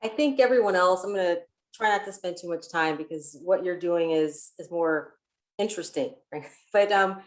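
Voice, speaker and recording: A woman with an American accent speaks as a public health panelist. Her voice is a little lower than most women's. The delivery is informal, as in an unscripted panel introduction. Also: moderate pace. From Ohio, United States.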